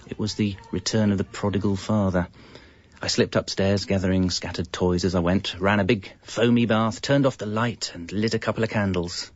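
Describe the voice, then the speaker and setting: An actor playing a wry, evasive British husband and father in his thirties, confessing in a deadpan, conversational comic narration. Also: lowish voice, moderate pace, no room sound, natural voice. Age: 30s. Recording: dull, lo-fi radio audio. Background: music.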